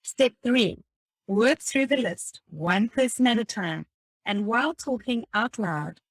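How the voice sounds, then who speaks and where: A woman reading a motivational self-help text aloud in a deliberate, instructive way. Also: a little high, lively intonation, no echo, rough recording. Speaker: reader of a self-help book. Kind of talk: scripted read-aloud of a motivational audiobook text.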